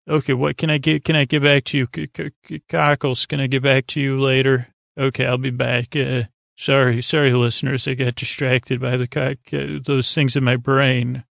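Creaky-voiced man rambling through a bedtime podcast. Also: mid-range voice, a bit bright, dry recording, clean studio sound. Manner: meandering, halting, stumbles and repeats words, tangential. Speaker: podcast host.

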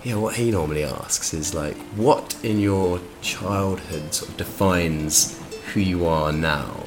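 A man's voice: low voice, slow pace, background noise. A man hosting a podcast interview, chatty and enthusiastic, with lots of fillers and restarts. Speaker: podcast host. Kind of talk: casual interview chat.

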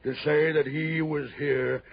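A male actor playing a gross, wheezing rich man in an old radio drama, halting and blustering as he repeats himself. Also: on the high side, flat delivery, vocal fry, husky, muffled recording, exaggerated voice. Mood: uneasy. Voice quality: wheezy, labored breathing. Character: gross, wheezing, frightened rich fat tycoon. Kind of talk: 1960s radio drama acting. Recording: band-limited old radio recording.

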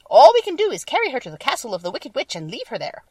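A woman voicing the big, dutiful leader of a troop of winged monkeys in a storybook, declaiming loudly and formally. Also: sits high, huge pitch swings, fast talker, breathy edge, no echo, recorded from a distance, pitched above the performer's own voice, heavily acted. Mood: firm, warning. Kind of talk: storybook character voice.

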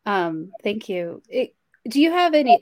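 A woman interviewing in a gentle conversational way, phrasing questions tentatively and rewording them as she goes. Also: fairly high pitch, big pitch swings, a little slow.

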